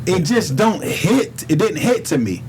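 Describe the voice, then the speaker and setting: A man's voice: high voice, a little slow, slight background noise. A man from Harlem with a New York accent, telling stories casually in street slang with plenty of swearing. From New York City (Harlem). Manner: animated street slang, profane, quotes dialogue. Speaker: podcast guest, rapper. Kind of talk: casual storytelling in conversation.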